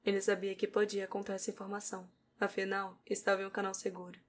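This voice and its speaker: A woman's voice: mid-range voice, monotone, quick pace, a little hiss or hum. A woman with a Brazilian accent reading scripted narration for an audio novel.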